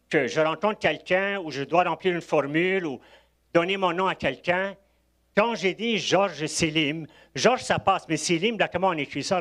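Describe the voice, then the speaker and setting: An older man from Egypt, a guest preacher giving a sermon, telling anecdotes with chuckling asides. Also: high voice. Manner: warm storytelling with asides and chuckles.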